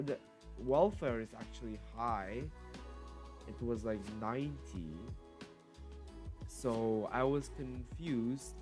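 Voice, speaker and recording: A man with a stuffy nose from a cold, chatting casually and correcting himself as he talks through a game build for his viewers. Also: mid-range voice. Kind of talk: casual gameplay commentary. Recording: faint music behind voice.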